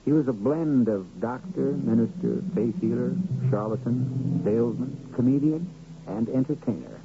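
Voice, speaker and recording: An American man narrating a radio drama as host, with measured, theatrical phrasing. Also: mid-range voice, creaky, heavy background noise. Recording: muffled old radio broadcast. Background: loud music.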